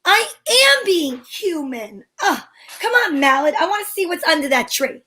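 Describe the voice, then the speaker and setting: A woman's voice: very high voice, big pitch swings, moderate pace, sharp tone, heavily acted. A woman playing a plucky, curious female private detective in an amateur old-time radio drama, wry and wisecracking. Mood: eager, teasing.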